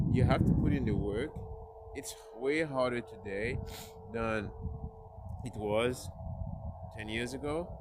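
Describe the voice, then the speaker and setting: A man speaking English as a later language, giving an earnest motivational talk to his viewers, with many restarts and pauses. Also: medium pitch, heavy background noise. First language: not English. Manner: halting, restarts words, earnest. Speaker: vlogger.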